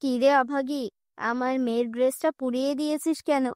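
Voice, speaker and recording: A woman's voice: high voice, steady tone, a little fast, darkish tone, no echo, studio quality, close to an everyday voice. A woman voicing a cruel middle-aged stepmother in a cartoon fairy tale, harsh and scolding. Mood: angry.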